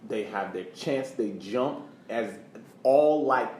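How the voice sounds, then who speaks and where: A man's voice: medium pitch, animated, slow pace, darkish tone. Male co-host, medium register, informal emphatic sports talk, roomy sound with light background noise. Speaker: podcast co-host. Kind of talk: casual sports podcast chat.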